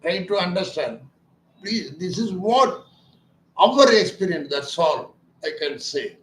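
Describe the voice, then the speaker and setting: A man speaks as a doctor, slow and deliberate, with a fairly high voice for a man. He is earnest and emphatic, stressing his points and turning them into questions, and the room around him sounds echoey. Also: animated, fairly bright tone, slight background noise. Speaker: ENT doctor treating voice disorders. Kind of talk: explanatory talk about a clinic's treatment.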